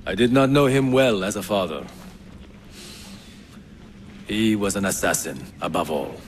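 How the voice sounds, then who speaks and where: An actor playing a resentful young assassin son in dramatic game dialogue. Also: male voice, on the low side, measured pace, a little hiss or hum, close to an everyday voice. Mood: subdued, bitter.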